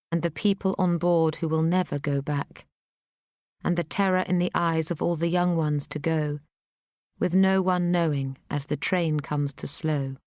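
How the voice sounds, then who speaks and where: A woman reading a poem aloud in a grave, deliberate recital style. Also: sits low, a little mellow, dry recording, studio quality. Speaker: poetry reader.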